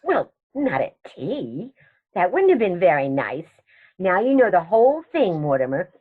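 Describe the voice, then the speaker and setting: A woman's voice: on the low side, sing-song, measured pace, slightly rough, heavily acted. Woman acting prim, lilting elderly aunt, muffled recording. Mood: prim, matter-of-fact. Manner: prim, gently chiding, unruffled. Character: genteel elderly aunt, serenely matter-of-fact and scolding. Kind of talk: stage comedy radio theatre acting.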